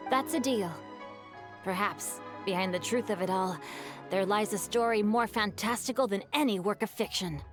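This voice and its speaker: A woman's voice: mid-range voice, moderate pace, lightly acted. A woman voicing a polite, bookish young man in a video game, speaking in formal, thoughtful, storytelling phrases. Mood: intrigued. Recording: game dialogue over background music. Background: game music.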